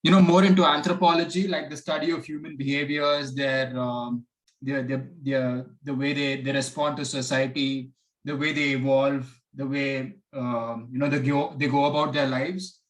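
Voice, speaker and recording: A man's voice: mid-range voice, flat delivery, normal speed, poor audio. A man hosting a podcast, affirming his guest often and speaking in a casual interview manner. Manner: affirming, frequent back-channel agreement. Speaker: podcast host.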